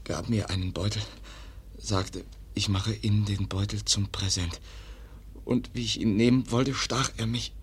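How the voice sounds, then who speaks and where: A man's voice: fairly low pitch, fairly even intonation, unhurried, a little hiss or hum, natural voice. An actor playing a stabbed young man in a radio play, weak and whispering in broken, clipped sentences. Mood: weak, faltering. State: weak from a stab wound, breathy whisper. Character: wounded young man Kaspar, weak, halting.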